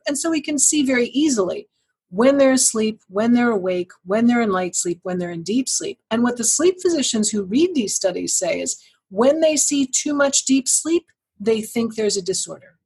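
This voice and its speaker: A woman guest giving articulate, measured explanations as a sleep clinician. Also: fairly high pitch, animated, darkish tone, husky. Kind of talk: explanatory interview answers.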